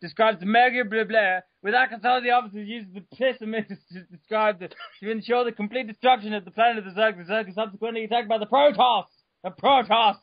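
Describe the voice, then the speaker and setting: A man giving a mock-dramatic reading of an article, over the top, bursting into shouts and stumbling over words. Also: high-pitched, fairly even intonation, fast talker, few pauses, dry recording, lo-fi sound. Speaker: reader.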